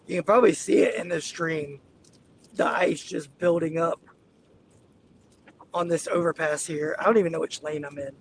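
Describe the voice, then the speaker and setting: Man with a raspy, flu-worn voice, a bit higher pitched, light road noise behind him, talks to viewers while driving. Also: steady tone, normal speed, long pauses. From Southeast Georgia. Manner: easygoing, addresses viewers as y'all. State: getting over the flu, hiccups. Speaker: weather livestreamer. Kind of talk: live storm-chasing stream commentary. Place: inside a car, driving on icy roads.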